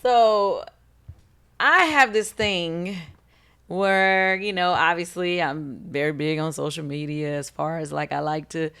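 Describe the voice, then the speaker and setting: A woman with a somewhat low, hoarse voice hosts a casual talk show. She speaks bluntly and emphatically, leaning hard on her words. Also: slow pace, fairly bright tone. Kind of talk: casual gossip chat.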